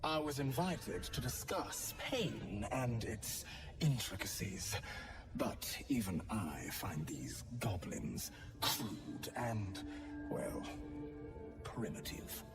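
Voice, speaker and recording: An actor playing a serene, sinister priest devoted to pain in a video game, measured, unctuous and softly intense. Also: male voice, fairly low pitch, expressive, distant mic, loud music behind the voice. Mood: courteous menace.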